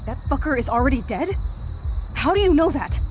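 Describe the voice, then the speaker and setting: Rebellious young woman, American accent, fairly high voice, blunt, slangy, loyal, game drama, faint noise behind. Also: expressive, fairly quick, a little mellow. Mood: angry, defiant. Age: young adult. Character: brash, foul-mouthed, fiercely loyal rebellious young woman. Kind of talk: dramatic video game voice acting.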